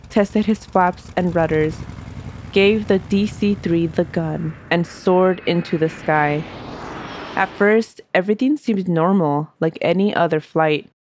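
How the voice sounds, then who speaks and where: A woman's voice: fairly low pitch, slight background noise. A woman hosting a history podcast, reading a scripted story aloud while stuffy from a cold. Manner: steady storytelling narration. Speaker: podcast host.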